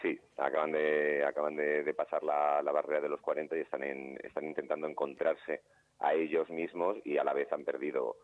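A man from Spain speaks as a guest in a relaxed radio interview, thinking aloud and restarting his sentences as he explains. His voice is very low. He comes through a thin, phone-like line. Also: a little fast, no echo. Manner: thoughtful, with many restarts. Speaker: playwright and actor guest.

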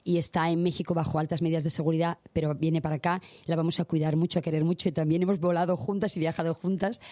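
A woman's voice: low-pitched, flat delivery, fast, dark tone, no echo. A woman guest, a singer and activist, talking passionately in long runs. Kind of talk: casual interview chat.

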